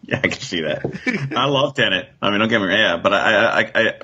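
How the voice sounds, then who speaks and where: A man's voice: on the low side, fast talker, bright, forceful tone, slightly rough. A man co-hosting a casual pop-culture discussion, recorded in dull lo-fi audio. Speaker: podcast co-host.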